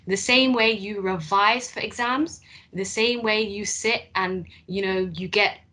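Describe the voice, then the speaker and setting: A young woman speaks earnestly to students, sharing her path and encouraging them. Her voice is bright and clear, and her talk is full of small fillers. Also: mid-range voice, fairly even intonation, unhurried. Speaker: council apprentice speaker.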